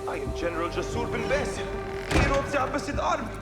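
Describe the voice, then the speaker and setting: An actor playing a vain, nervous general in a video game, boasting while reassuring himself. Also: male voice, very high voice, animated, quick pace. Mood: pompous. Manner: pompous boasting, then jittery self-reassurance. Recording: echoey game audio over loud music.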